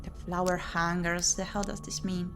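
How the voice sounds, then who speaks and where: A woman doing let's-play commentary, reading game narration aloud and muttering and exclaiming to herself in an expressive way. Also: fairly low pitch, normal speed. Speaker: streamer playing and reading a visual novel. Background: music.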